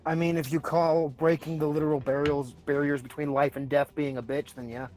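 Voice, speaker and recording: A man's voice: fairly high pitch, flat delivery, fairly quick, darkish tone. A man chatting casually as a player in an online tabletop game, rambling a little and swearing now and then. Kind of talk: casual chat. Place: online group voice call. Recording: background music behind the voice.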